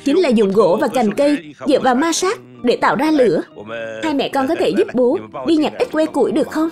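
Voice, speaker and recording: Woman voicing an earnest high-pitched cartoon dad. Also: sing-song, fairly quick, music in the background. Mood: confident. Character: earnest, confident cartoon father who likes explaining science. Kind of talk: children's cartoon dub.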